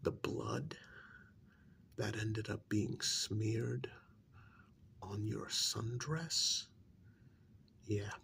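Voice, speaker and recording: A man playing a stern, exasperated handler of a covert operation in a soft-spoken ASMR role-play, speaking in a deliberate, halting, gravelly half-whisper with menacing emphasis. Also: on the low side, a little hiss or hum, heavily acted. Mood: stern instructing.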